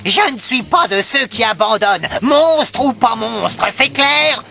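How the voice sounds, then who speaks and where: A man voicing a bossy, hot-tempered pirate captain in a cartoon, gruff, domineering and mocking. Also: very high voice, expressive, a little slow, bright, forceful tone, soft background music, heavily acted. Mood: menacing, commanding. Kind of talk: animated cartoon dub acting.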